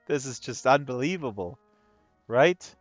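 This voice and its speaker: A man with a hoarse voice giving casual, excitable live-stream commentary, rambling and gushing with amazement. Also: mid-range voice, a little slow, no room sound. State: hoarse from talking all day. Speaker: live streamer, virtual world tour guide. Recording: dull lo-fi stream audio with music underneath. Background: music playing.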